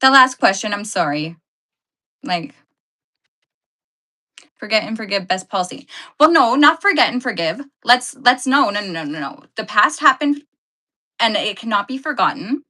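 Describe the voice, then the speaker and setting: A woman's voice: medium pitch, lively intonation, moderate pace, long pauses, bright, forceful tone. A woman hosting a casual live stream, chatting with viewers and answering their comments, laughing often and correcting herself as she goes. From Canada.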